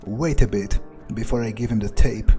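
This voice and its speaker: A man role-playing a jaded, domineering, foul-mouthed male vampire in a video game, thinking aloud in a contemptuous, deliberate way. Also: on the low side, warm tone, close to an everyday voice. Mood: weary, dry. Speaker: gamer role-playing in character. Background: faint game music.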